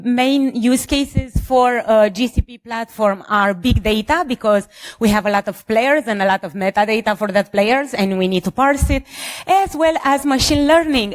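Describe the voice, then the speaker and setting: A woman with a Romanian accent giving an informal conference presentation as a security engineer, in a somewhat halting delivery. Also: fairly high pitch. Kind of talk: conference presentation with light jokes.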